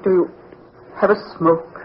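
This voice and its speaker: An actress playing a cold, grasping private nurse in an old radio drama. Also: female voice, measured pace, lightly acted. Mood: cool, demanding. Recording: dull, noisy vintage radio recording.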